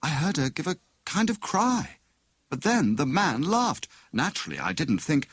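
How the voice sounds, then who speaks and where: A man acts out a gentle young British woman sleuth in an audiobook. Her voice is lifted in pitch and lively in movement, soft and earnest, in a dry recording. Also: moderate pace, husky, no echo, exaggerated voice. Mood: hesitant. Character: gentle, concerned young wife turned amateur detective.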